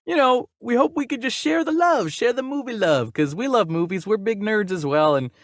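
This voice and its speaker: A chatty, enthusiastic man co-hosts a casual entertainment podcast. His voice sits a little high and moves around with lively intonation. The sound is close and dry. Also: moderate pace, dry recording. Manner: chatty, enthusiastic self-described geek. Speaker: podcast co-host. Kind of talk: casual movie news podcast chat.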